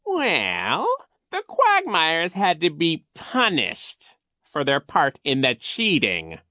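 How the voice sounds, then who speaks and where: A woman voicing a wicked, smug male gym coach in a storybook, with a sly, drawn-out theatrical delivery. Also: low-pitched, huge pitch swings, pushed and bright, no room sound, clean studio sound, voice pushed up, full character voice. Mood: menacing, gloating.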